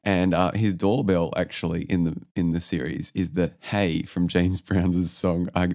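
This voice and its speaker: Casting: male podcast host, flu-roughened, very low register, dry close sound, relaxed and rambling. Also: measured pace, no room sound. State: sick with the flu, voice lowered. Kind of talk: casual music podcast chat.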